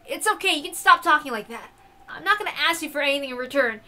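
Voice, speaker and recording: A woman voicing a brash, excitable young man in a loud, casual, emphatic way for a visual novel. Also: high-pitched, fast, heavily acted. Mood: earnest.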